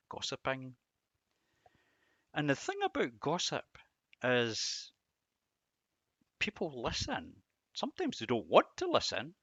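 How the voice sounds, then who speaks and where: Slow-talking, bright-voiced man giving a devotional. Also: medium pitch, no room sound, highs cut off. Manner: reflective, rhetorical questions with emphatic repetition. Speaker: Bible teacher and host. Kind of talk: devotional talk reflecting on scripture verses.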